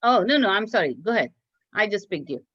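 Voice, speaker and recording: Very animated woman teaching children, dull audio. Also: mid-range voice, fast talker. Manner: expressive, encouraging, teacherly. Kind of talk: read-aloud storytelling and quizzing children in an online class. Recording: dull lo-fi online class audio.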